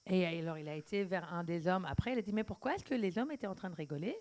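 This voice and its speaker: A woman works as a live interpreter for a sermon. She delivers it phrase by phrase, sometimes halting to correct her wording. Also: on the low side, lively intonation, darkish tone.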